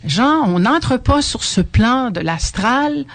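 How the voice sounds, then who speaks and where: A woman's voice: a little high, animated, slow pace. An older woman, a guest on a radio show, explaining her experiences earnestly. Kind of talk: radio interview. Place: radio studio.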